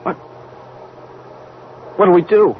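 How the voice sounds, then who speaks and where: An actor playing an anxious, awestruck younger crewman, speaking in short halting phrases in 1940s radio drama style. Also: high-pitched, expressive. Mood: fearful. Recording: very noisy, dull old radio recording.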